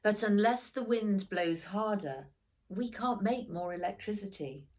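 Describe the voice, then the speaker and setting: Woman as storybook dad, reasonable, apologetic, patient explaining, slow pace, varied intonation. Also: mid-range voice, lively intonation, darkish tone, voice pushed up, natural voice. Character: apologetic, reasonable father of a young boy.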